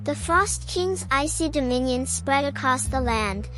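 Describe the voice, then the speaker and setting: A woman's voice: high voice, expressive, a little slow, a bit bright, low-quality recording. A woman reading a scripted children's bedtime story as a storyteller. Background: music.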